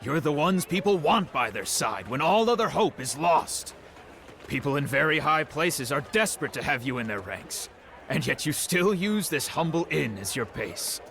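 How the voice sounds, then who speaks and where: A man's voice: fairly high pitch, big pitch swings, normal speed, creaky, fairly bright tone, a little hiss or hum, exaggerated voice. An actor playing a polite, gossipy innkeeper who is eager to serve his customers. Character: friendly, chatty male innkeeper. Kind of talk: game cutscene voice acting.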